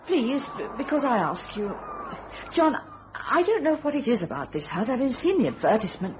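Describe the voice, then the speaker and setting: An actress playing a haunted, pleading wife in a radio thriller, with an earnest and dreamy dramatic delivery. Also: female voice, on the high side, big pitch swings, moderate pace, background noise. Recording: radio drama with music behind.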